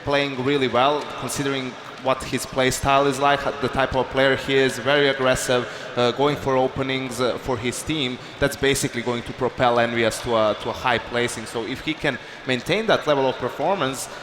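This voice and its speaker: A man giving measured, slightly halting esports analysis at a desk. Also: mid-range voice, steady tone, roomy sound, noisy background.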